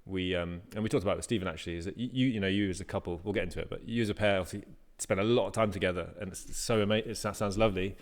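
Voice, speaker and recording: A man hosting a conversation, talking casually and haltingly with many restarts. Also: deep voice, fairly even intonation. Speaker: interviewer. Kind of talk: casual interview chat.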